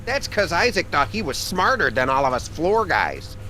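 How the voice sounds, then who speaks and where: Gossipy rural factory guy, cocky, folksy grammar, high-pitched, very animated, cartoon game character, faint noise. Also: normal speed, rough recording. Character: folksy, gossipy backwoods factory worker man, cocky and simple. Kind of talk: cartoonish video game dialogue.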